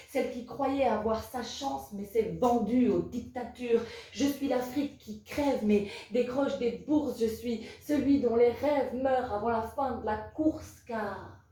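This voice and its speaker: Echoey, slow-speaking woman reciting slam poetry. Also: on the high side, mellow. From Belgium. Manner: measured, rhythmic, incantatory delivery with repeated refrains. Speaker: slam poet. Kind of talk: spoken-word poetry recital. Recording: very echoey, distant from the mic.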